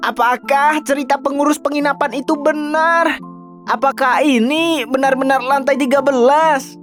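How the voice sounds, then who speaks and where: A woman's voice: high-pitched, fairly even intonation, moderate pace, soft background music, natural voice. A woman voicing a curious, bold young boy in a cartoon story. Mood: daring.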